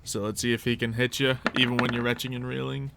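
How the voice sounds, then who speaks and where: A man's voice: mid-range voice, little pitch movement, quick pace, gravelly, background noise. A man acting as game master, narrating a tabletop roleplaying game in a casual, informal, jokey way.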